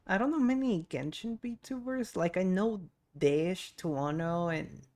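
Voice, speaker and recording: A woman's voice: fairly low pitch, measured pace, darkish tone. A woman chatting casually as she live-streams a video game. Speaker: streamer, VTuber. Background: game music.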